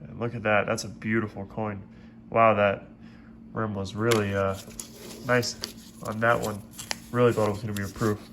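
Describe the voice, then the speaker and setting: A man with a stuffed-up, congested voice hosting a coin roll hunting video, giving steady, methodical play-by-play from his desk. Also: on the low side, moderate pace, pauses often, noisy background. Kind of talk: casual hands-on commentary. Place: at a desk indoors.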